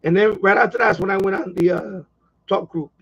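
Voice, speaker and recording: A man's voice: high voice, a little fast, creaky, fairly bright tone, husky, lo-fi sound. A man hosting a hobby live stream, chatting casually in a rambling way and often repeating himself.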